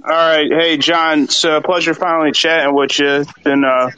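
A man's voice: a little high, flat delivery, moderate pace, sharp tone, clear, resonant tone, low-quality recording. A man calling in to a sports show. He rambles through a question and stops now and then to recall details. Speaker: caller. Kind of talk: casual call-in question.

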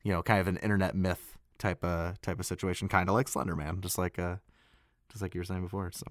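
A man in a casual podcast chat, speaking in a halting way and often repeating words. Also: sits low, flat delivery, dry recording, studio quality. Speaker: podcast discussion participant.

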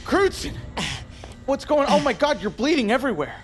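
A man's voice: very high-pitched, big pitch swings, fairly quick. An actor playing a frantic, sarcastic agent in an audio drama, stammering his way through a meltdown. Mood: alarmed. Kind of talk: scripted audio drama acting. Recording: loud dramatic music behind the voice.

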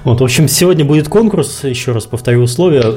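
A man co-hosting a live podcast, laying out contest rules in a measured, explanatory way with light jokes. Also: medium pitch, darkish tone. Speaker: podcast co-host.

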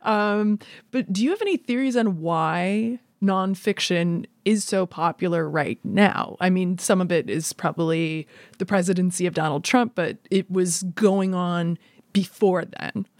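A woman's voice: fairly low pitch, a little slow, darkish tone, no room sound, studio quality. A woman hosting an interview in a conversational way, commenting and putting questions to her guest. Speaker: podcast interviewer, host.

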